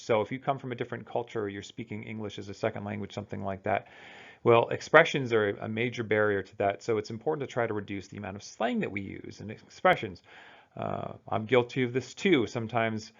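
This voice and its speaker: Gravelly-voiced man giving a casual lecture. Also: on the low side, muffled recording. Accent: American. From United States. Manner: conversational, thinking aloud with fillers and restarts. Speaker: college instructor. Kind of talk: recorded lecture.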